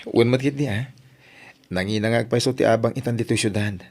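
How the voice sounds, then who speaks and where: A man's voice: medium pitch, steady tone, fast, creaky, darkish tone. A man playing a mischievous, coaxing young suitor in an Ilocano audio drama, with an Ilocano Filipino accent and a bantering manner.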